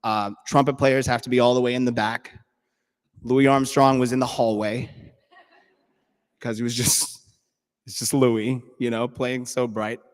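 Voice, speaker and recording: A man's voice: mid-range voice, level tone, measured pace, pauses often, clear, resonant tone, long reverb tail. A man from New York City, a bandleader chatting casually with a live audience, playfully nerdy and full of ums and restarts.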